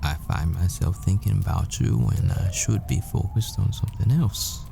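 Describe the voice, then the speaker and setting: A man playing a devoted, yearning boyfriend in an intimate audio roleplay, speaking tenderly and haltingly as if confessing. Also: deep voice, vocal fry, over music.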